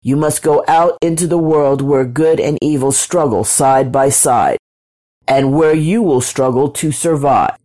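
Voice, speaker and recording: A woman's voice: low-pitched, unhurried, mellow. A woman voicing a majestic creator God from a storybook, solemn and commanding. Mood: stern, cold. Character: majestic God, a loving but stern creator.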